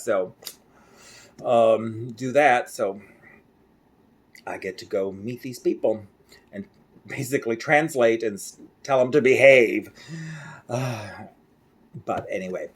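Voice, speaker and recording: A middle-aged man from Mexico with an American accent, talking casually and a little ramblingly in a daily video diary recorded at home, often laughing at himself. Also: mid-range voice. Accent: General American. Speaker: vlogger.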